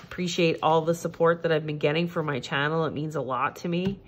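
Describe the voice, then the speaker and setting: Low-voiced congested woman reading tarot. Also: fairly even intonation, fairly quick, noisy background. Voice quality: nasal. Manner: gentle, repetitive, reassuring. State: congested, stuffed-up nose. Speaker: tarot reader. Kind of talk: casual tarot reading monologue. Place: home, at a table.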